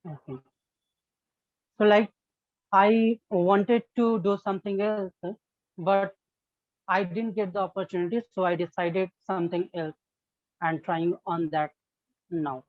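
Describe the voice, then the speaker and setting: A woman, a non-native English learner, practising sentences in a speaking exercise in a hesitant, halting manner. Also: medium pitch, steady tone, dry recording. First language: not English. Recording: muffled, narrow phone-like call audio.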